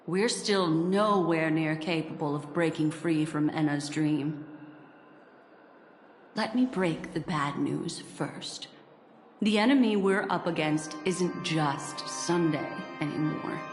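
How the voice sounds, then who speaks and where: An actress playing a poised, mysterious memory keeper in a video game, speaking in a measured, formal, elegant way. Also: female voice, sits low. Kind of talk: scripted video game story dialogue. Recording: echoey reverb, loud game music behind.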